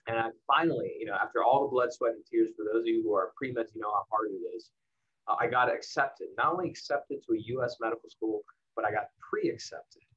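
American guy guest speaker chatting candidly to students, emphatic pauses, kinda dull lo-fi online audio. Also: medium pitch, fairly bright tone, breathy edge. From El Paso, Texas, United States. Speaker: guest speaker, marketing professional. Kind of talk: casual motivational talk to students. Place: indoors in the evening, online livestream.

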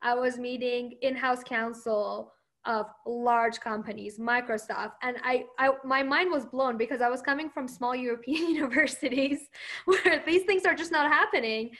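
A woman with a high voice, answering interview questions with confidence. Her first language is Persian, and she often laughs between points. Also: normal speed, fairly bright tone.

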